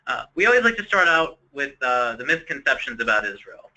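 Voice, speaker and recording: A man from Ohio giving a webinar talk in a loose, chatty way, with lots of ums and self-corrections. His voice is very bright and forceful, and his pitch sits mid-range for a man. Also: big pitch swings, fairly quick, low-quality recording. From Ohio, United States. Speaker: tourism office presenter. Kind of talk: casual presentation.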